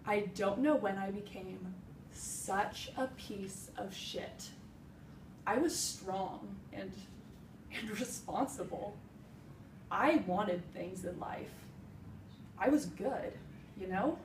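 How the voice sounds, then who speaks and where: A young woman performing a dramatic monologue as a grieving, self-searching woman hiker, in deliberate, emphatic phrases with heavy pauses. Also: medium pitch. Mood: regretful, bitter. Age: young adult. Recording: roomy echo, distant mic, faint noise.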